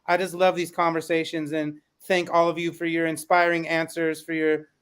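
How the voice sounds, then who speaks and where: A man's voice: fairly high pitch, level tone, normal speed, fairly bright tone, clear, resonant tone. A man co-hosting a podcast, an educator giving earnest thanks to the panel. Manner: earnest, grateful. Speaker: co-host, educator. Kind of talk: closing thank-you remarks.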